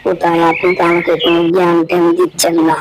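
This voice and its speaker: A man's voice: high voice, monotone, racing, background noise. A man playing a naive, gullible young rabbit sidekick in a cartoon, questioning and deferential. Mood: puzzled, complaining. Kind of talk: cartoon dialogue.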